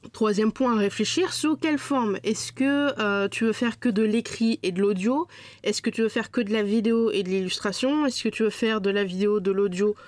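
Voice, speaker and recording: A woman's voice: a little high, no room sound. A woman hosting her own podcast while stuffed up with a cold, talking casually and directly to the listener with frequent fillers. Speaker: podcast host. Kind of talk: solo podcast talk.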